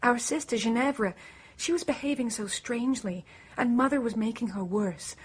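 A woman's voice: fairly high pitch, normal speed, breathy edge. An actress playing an anxious, earnest young woman suspected of murder in a radio drama. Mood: distressed, earnest.

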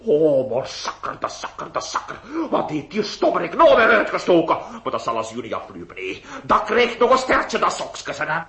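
An actor playing an excitable, bewildered male notary in a theatrical radio play, exclaiming and repeating himself when alarmed. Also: a little high, normal speed, few pauses, exaggerated voice. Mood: alarmed, indignant.

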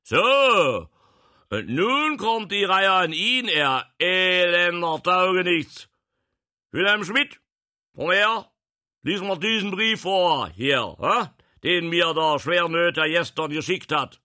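A man voicing a commanding, scornful prince disguised as a vagabond in a storybook, barking in a powerful, booming voice. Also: animated, takes it slowly, bright, forceful tone, full character voice.

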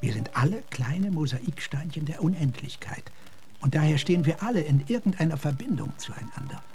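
An actor playing an earnest, philosophical gentleman officer in a German radio drama, speaking in a measured, reflective way. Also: a little high, lively intonation, faint music behind. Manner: measured, reflective, sometimes hushed.

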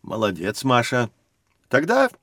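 An actor playing a lively, patient professor who explains things expressively to children in an educational audio drama. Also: male voice, mid-range voice, measured pace, no echo, full character voice. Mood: encouraging.

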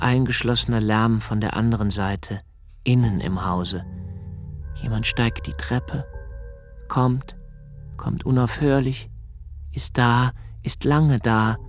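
Brooding lonely young writer guy, radio drama, slow n halting, drops to near whisper, music under it. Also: mid-range voice. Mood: restless, agitated. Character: anxious solitary young male writer, brooding and observant. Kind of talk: literary radio play monologue.

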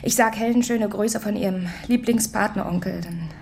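An actress playing a frightened mother on the phone, speaking in a hushed, halting whisper in a radio play. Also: medium pitch, gravelly, a little hiss or hum, lightly acted. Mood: anxious. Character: frightened mother whose husband was abducted.

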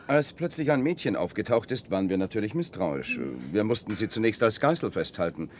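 An actor playing a wary survivor in a radio drama, explaining in a matter-of-fact way. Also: male voice, sing-song, brisk. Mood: wary, explanatory. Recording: faint noise with rumble.

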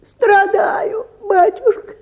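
A woman's voice: very high-pitched, fairly even intonation, takes it slowly, muffled recording. An actress playing a meek, shrivelled old peasant woman in a radio drama, plaintive and deferential. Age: older. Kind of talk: Russian radio drama acting.

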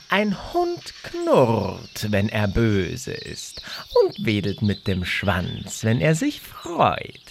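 A woman playing a teasing, nonchalant mad cat in a fairy-tale audio drama, with a playful, drawn-out theatrical delivery. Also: low-pitched, creaky, a little hiss or hum, exaggerated voice.

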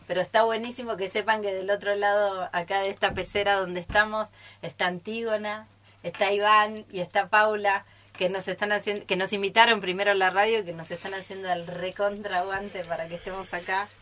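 A woman with an Argentine accent talks informally on live radio from a studio booth. Her voice is bright and forward, sitting at an ordinary pitch. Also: flat delivery, a little fast. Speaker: radio theatre troupe member introducing the group.

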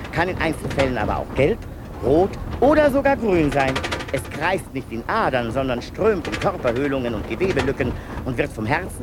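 A man's voice: background noise, natural voice. An actor playing a male asylum patient who lectures obsessively on beetles, in a pedantic, sing-song manner, in a 1990s radio play. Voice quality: pedantic, lecturing, with sudden pitch swings. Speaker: patient narrator.

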